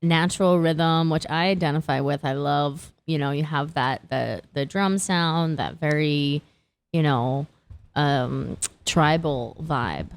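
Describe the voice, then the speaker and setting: A woman's voice: sits low, slow, creaky, clear, resonant tone. A woman hosting a casual music interview, speaking in an effusive, informal way. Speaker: radio show host, interviewer. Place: live radio studio.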